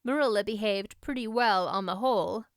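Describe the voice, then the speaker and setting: Woman audiobook narrator, smooth clear voice, expressive, measured, scripted storytelling, close dry recording. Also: medium pitch, no echo.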